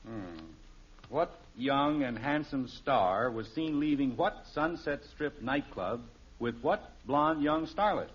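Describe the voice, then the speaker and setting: A man's voice: mid-range voice, animated, slow pace, slightly rough, muffled recording. An actor playing a wry, mock-pompous American father in a 1950s radio sitcom, on an old recording. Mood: teasing. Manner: florid, mock-pompous phrasing.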